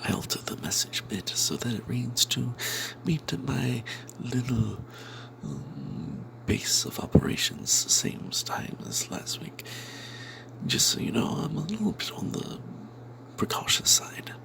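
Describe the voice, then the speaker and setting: A man narrates a fantasy adventure in a breathy whisper, as if keeping a secret. His delivery is slow and broken by dramatic pauses, with a dry crackle of creak in the hushed voice. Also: medium pitch, very animated. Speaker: narrator telling the tale in character as a cleric. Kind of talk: whispered ASMR storytelling of a tabletop fantasy adventure.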